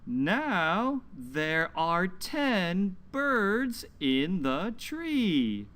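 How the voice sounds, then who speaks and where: Very slow male children's read-along host. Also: sits high, big pitch swings, fairly bright tone. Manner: deliberate, simple phrasing for young learners. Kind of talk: slow, scripted children's read-aloud lesson.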